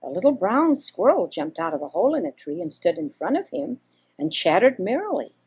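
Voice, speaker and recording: An older woman reads a children's story expressively, with a husky voice, on a homemade cassette. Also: on the low side, big pitch swings, brisk. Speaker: storyteller reading for children and grandchildren. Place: home recording. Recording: dull homemade 1980s cassette recording.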